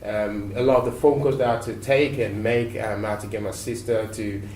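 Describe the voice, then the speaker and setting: A man from Nigeria, a guest on a talk show, telling stories in a relaxed, reflective way with many fillers. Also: fairly low pitch, fairly quick. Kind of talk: interview answers. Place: TV studio. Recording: roomy echo, mic at a distance, faint noise.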